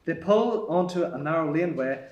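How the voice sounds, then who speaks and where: Man narrating screenplay directions live; higher than average voice, roomy theatre acoustic. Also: expressive, normal speed, darkish tone, muffled recording. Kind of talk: scripted narration at live table read. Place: theatre stage.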